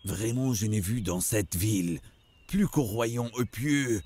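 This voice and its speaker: Low-voiced devout servant. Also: male voice, animated, takes it slowly, a little mellow, slightly rough, full character voice. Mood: reverent wonder. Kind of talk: animated religious drama acting.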